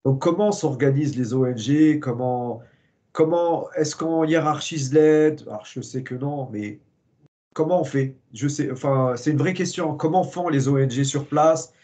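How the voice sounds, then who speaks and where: A man hosting a conversational interview, asking hesitant, searching questions filled with pauses and fillers. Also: on the high side, mellow. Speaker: interviewer host.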